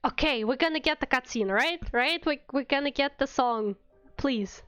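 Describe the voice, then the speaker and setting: A woman with a fairly high voice comments on a game like a streamer. She is informal and wry, and the audio is a little dull. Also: moderate pace, fairly bright tone, no room sound, faint music behind. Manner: informal, wry, mildly sweary. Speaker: VTuber streamer. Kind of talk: casual game livestream commentary.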